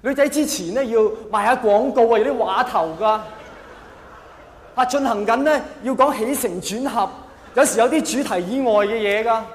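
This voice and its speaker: A man's voice: very high-pitched, normal speed. A male stand-up comedian with a Hong Kong accent playing an earnest, patronising man who coaxes a teenage boy. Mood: eagerly explaining. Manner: patronising, coaxing, over-patient. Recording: echoey hall reverb, faint background noise.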